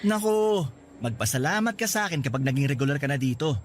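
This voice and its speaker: A man's voice: a little high, fast talker, slight background noise, lightly acted. A man playing a cheeky, friendly young co-worker who jokes at his own expense in radio drama dialogue. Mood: boastful, teasing. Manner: playful, teasing, animated. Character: cheeky, friendly male co-worker, self-mocking joker. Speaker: drama voice actor.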